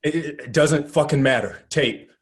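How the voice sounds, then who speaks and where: A man's voice: on the high side, normal speed, natural voice. Man playing a rattled, urgent crew leader. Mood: flustered. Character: rattled man leading a trapped activist crew, foul-mouthed. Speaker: actor in a screenplay table read. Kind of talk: acted script reading.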